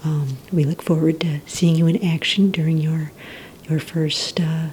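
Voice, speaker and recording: Casting: woman, low-pitched, breathy half-whisper, courteous receptionist in an ASMR roleplay, some low rumbling noise on the recording. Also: steady tone. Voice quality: soft, breathy, mostly whispered. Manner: gentle, polite, unhurried customer-service patter. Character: courteous, organized female villain-agency receptionist. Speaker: ASMR roleplay performer as a villain recruitment receptionist. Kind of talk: soft-spoken ASMR roleplay.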